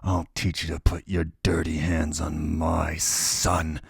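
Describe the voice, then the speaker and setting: A hulking father with a cold killer's calm, voice sunk very deep and rough with fry. He drags each word out slowly and breathily, close and dry on the mic, like a storybook villain. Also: no echo, heavily acted. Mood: cold menacing. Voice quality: deep gravelly whispery voice. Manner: slow, cold and low. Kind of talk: audiobook character voice.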